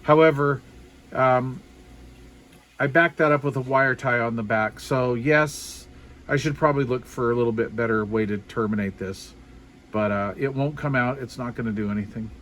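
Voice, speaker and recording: A man's voice: medium pitch, normal speed, a bit bright. A man with a mid-range voice talks through a hobby build in a casual, unscripted way. His manner is folksy and colloquial, with a self-deprecating streak. There is some faint noise behind him in an informal home recording. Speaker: hobbyist showing a DIY radio build. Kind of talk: casual unscripted show-and-tell narration.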